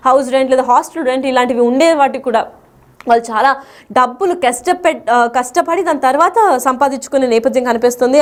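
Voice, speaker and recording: A woman explaining the news as a presenter, in a wordy, partly unscripted way with English terms mixed in. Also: sits high.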